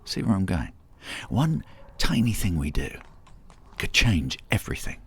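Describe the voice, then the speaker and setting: An actor playing an eager, theorising man in an audio drama, explaining emphatically in a gravelly, husky voice. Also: low-pitched, big pitch swings, unhurried, a bit bright, a little hiss or hum, full character voice. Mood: musing, persuasive. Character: David, eager theorising man lecturing on time travel.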